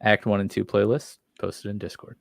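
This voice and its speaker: Casual, low-voiced podcast guy. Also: little pitch movement, normal speed, creaky, no echo, studio quality. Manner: enthusiastic, informal. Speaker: podcast co-host. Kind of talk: casual chat about horror series.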